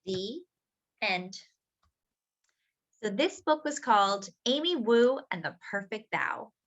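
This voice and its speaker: A woman reading a picture book aloud to young children as a storytime host, expressively, with drawn-out words and little asides to her listeners. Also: medium pitch, lively intonation, highs cut off.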